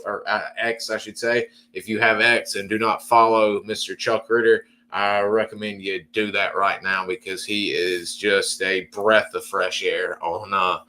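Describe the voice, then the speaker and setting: A man's voice: lowish voice, a bit bright. A man hosting an interview podcast, rambling, stopping and restarting often as he asks his questions. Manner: rambling, halting, full of restarts and fillers. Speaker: podcast host.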